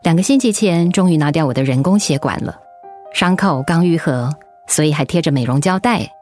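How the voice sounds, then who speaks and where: A gentle, earnest woman who has come through illness and comforts a colleague. Her voice sits on the low side, reassuring and sincere, in a radio drama with music behind her. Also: lively intonation, a little fast. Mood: gentle, encouraging. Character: caring older female colleague who survived breast cancer.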